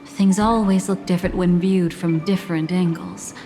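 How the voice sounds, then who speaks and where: An actress playing an enigmatic, composed woman in a video game, guiding a dreamer in a measured, solemn and cryptic way. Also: on the low side, steady tone, mellow, faint music behind, close to an everyday voice. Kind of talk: scripted video game dialogue. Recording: long hall-like reverb.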